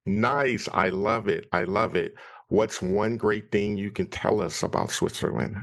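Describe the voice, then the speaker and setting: Man, podcast host, gravelly voice, lowish pitch, upbeat, encouraging. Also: measured pace, a bit bright. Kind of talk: casual interview conversation.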